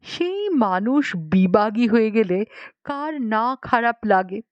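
Lively, high-voiced woman storyteller. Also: slow, clean tone, no room sound, highs cut off. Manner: dramatic storytelling with acted dialogue. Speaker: audiobook narrator. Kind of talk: expressive scripted story narration.

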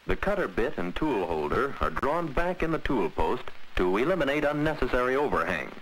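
An American man reading a scripted 1940s instructional film narration in a measured, precise, instructive way. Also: medium pitch, sing-song, fairly bright tone, low-quality recording. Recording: old 1940s film soundtrack, faint hiss.

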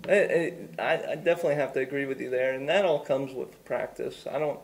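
A man's voice: mid-range voice, fairly quick, creaky. A man in his thirties co-hosting a golf show, talking casually in a small studio with many stammering restarts. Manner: frequent stammering restarts and agreeing fillers. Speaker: golf instructor and co-host. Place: small studio set with a backdrop.

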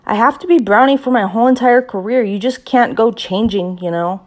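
A woman voicing a loud, bossy, dramatic kindergarten girl in a children's audiobook, exclaiming emphatically and repeating herself. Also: a little high, fairly quick, voice pushed up, close to an everyday voice. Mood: indignant.

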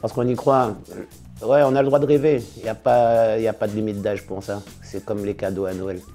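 A man in his fifties, a singer and DJ, answering interview questions in a relaxed, casual way, full of slangy shout-outs. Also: lowish voice, normal speed, faint music behind. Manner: relaxed, laid-back, with slangy big-ups. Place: studio in front of blue backdrop.

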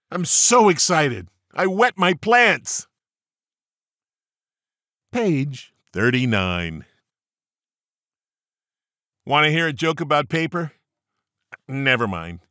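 Man, audiobook narrator, lively sing-song pitch, slow deliberate pace, playful punchlines. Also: no room sound, clean studio sound. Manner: playful punchline delivery, reads page numbers aloud. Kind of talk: audiobook reading of jokes.

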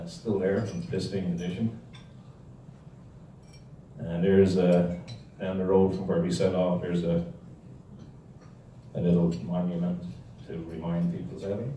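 An older man with an Irish accent gives an informal, humorous slide lecture. His voice is dark and relaxed, wandering drily through his anecdotes. It is captured at some distance in a strongly reverberant room with faint noise. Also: deep voice. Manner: rambling, wry storytelling. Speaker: club talk speaker. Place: indoor hall with projection screen. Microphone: handheld or stand microphone, recorded from audience camera. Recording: echoey room, distant mic, noisy background.

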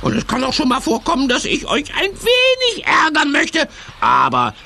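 A man's voice: wildly swooping pitch, moderate pace, pushed and bright, heavily acted. A man playing a spiteful, gleeful grump villain in a children's audio play, with exaggerated, gloating delivery. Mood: feigned sweetness. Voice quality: high, creaky, cackling. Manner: exaggerated, swooping, gloating, drawn out.

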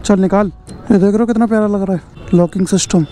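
A young man vlogging while riding a motorbike, chatting excitedly to his viewers in a casual, talkative way. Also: sits high, fast talker. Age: young adult. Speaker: moto vlogger. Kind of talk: casual moto vlog narration. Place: on a motorbike on a foggy road and outdoors among a crowd. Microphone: helmet-mounted action camera mic. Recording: outdoor vlog with loud music and crowd.

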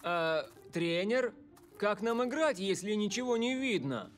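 A man voicing an excitable young footballer in a dubbed cartoon, animated and exclamatory. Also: sits high, unhurried. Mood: alarmed. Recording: loud music behind the voice.

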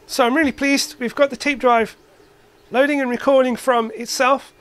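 A man's voice: extremely high pitch, fairly even intonation, normal speed, a bit bright, no echo. A man giving a casual, hands-on demonstration as an electronics hobbyist in his workshop. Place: cluttered indoor electronics workshop.

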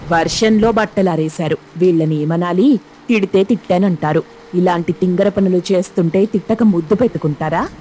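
A woman's voice: lowish voice, normal speed, warm tone, slight background noise, close to an everyday voice. A woman voicing an exasperated middle-aged mother-in-law who scolds and complains in a dramatized cartoon story.

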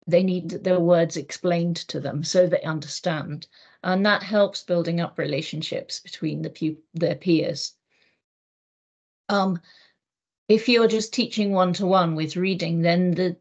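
A middle-aged woman with an English accent speaks in a somewhat low voice, measured and thoughtful, pausing on ums as she explains. She talks from home into a laptop mic on a video call. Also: darkish tone, lo-fi sound. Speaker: EAL teacher.

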